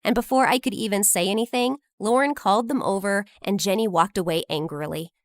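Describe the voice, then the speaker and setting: A woman narrating a scripted first-person story in an expressive, dramatic teenage-girl style. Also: mid-range voice, a little fast, no echo, studio quality.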